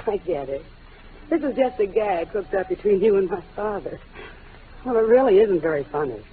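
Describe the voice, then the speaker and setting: A thin, noisy vintage radio sound frames a genteel young woman played by an actress. She is poised yet defensive, with mid-pitched, theatrical delivery from forties melodrama. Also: animated, moderate pace, close to an everyday voice. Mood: indignant, guarded. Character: poised, defensive young woman shielding her guilty father. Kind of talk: 1940s radio melodrama acting. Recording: tinny, noisy old radio broadcast.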